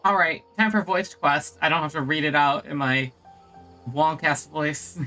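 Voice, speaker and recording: A woman's voice: low-pitched, steady tone, a little slow, pushed and bright, soft background music. A woman game streamer giving casual playthrough commentary, reading along and reacting.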